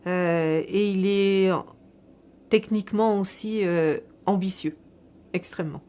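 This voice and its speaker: A woman speaking as a local elected official, explaining a public project in a measured, semi-prepared interview style with repeated words for emphasis. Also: mid-range voice, warm tone, smooth.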